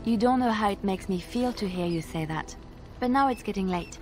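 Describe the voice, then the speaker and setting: An actress playing a gentle, devout young cloister sister in a video game, coy and soft-spoken. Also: female voice, medium pitch, fairly quick, natural voice. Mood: tender. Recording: music underneath. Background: music.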